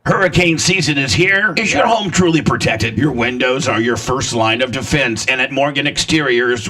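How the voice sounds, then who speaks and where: A man's voice: medium pitch, bright, forceful tone, no room sound. A man doing a scripted advertising read as a radio host. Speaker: radio show host reading sponsor ads.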